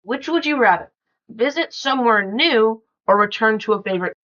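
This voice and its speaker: A woman's voice: a little high, expressive, moderate pace, fairly bright tone, lo-fi sound. A woman reading out questions and chatting casually on a podcast. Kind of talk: casual question-and-answer chat.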